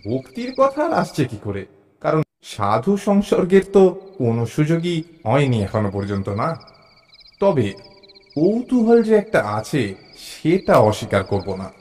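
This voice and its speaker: An actor narrating a suspense audio story as a curious, mild, observant traveler, in an expressive storytelling manner. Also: male voice, on the high side, a little slow. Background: background music.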